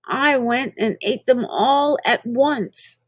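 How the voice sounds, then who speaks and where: A woman's voice: on the high side, takes it slowly, no room sound. A woman reading children's poetry aloud in a playful, theatrical manner, drawing out words for emphasis. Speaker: reader of children's poems.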